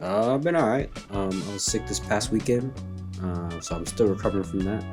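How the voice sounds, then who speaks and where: A man with a very low voice, co-hosting a relaxed sports podcast. He is slightly hoarse, recovering from being sick, and tosses in dry quips. Faint music sits in the background. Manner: laid-back, joking, quick quips. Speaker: podcast co-host. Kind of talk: casual sports podcast chat. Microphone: remote call recording.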